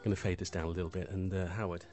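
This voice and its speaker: A middle-aged man presenting a radio music show in a relaxed, casual way, often pausing with fillers. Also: low-pitched.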